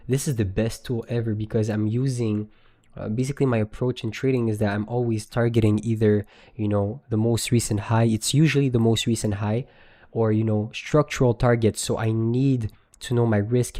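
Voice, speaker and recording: A man's voice: on the low side, mellow. A young man in his twenties from Quebec with a Quebec French accent, a native French speaker, chatting casually and slangily with viewers on a live stream. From Quebec, Canada. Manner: loose, slangy, laughs easily. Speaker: day trader live streamer.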